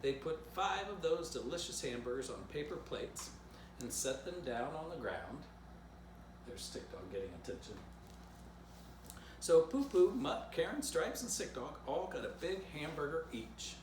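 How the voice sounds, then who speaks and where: A man's voice: on the high side, sing-song, unhurried. A man reading a children's story aloud as its author, with playful asides spoken directly to young listeners. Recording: roomy echo, background noise.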